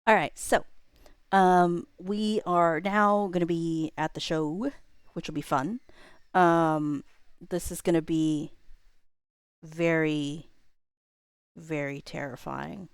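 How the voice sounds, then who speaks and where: A woman's voice: low-pitched, steady tone, slow pace, long pauses, clean tone, no room sound, studio quality. A woman, perhaps in her thirties, chatting casually on a livestream in a rambling, self-deprecating way with informal slang.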